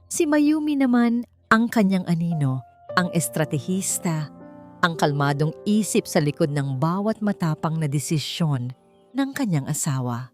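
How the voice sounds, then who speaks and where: A woman's voice: on the low side, expressive, a little slow, darkish tone, faint music behind. A woman reading a scripted dramatic story as its narrator.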